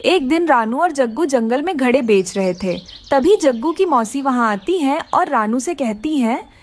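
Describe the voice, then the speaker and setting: Female narrator, children's storytelling, high-pitched, animated intonation, scripted. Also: moderate pace.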